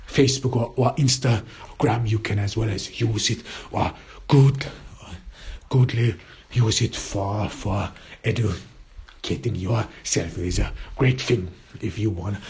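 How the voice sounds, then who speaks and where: Very husky man. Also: on the low side, a little mellow. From Austria. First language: German. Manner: searching for words in a second language. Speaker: podcast host. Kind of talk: casual solo podcast monologue.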